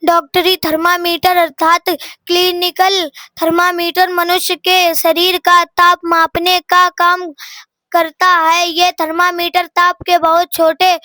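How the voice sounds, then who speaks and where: A young schoolgirl with a very high, bright voice reads a textbook aloud. She goes slowly and haltingly, word by word, stumbling over harder terms. The sound is close and dry. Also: no echo. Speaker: student reading a lesson aloud.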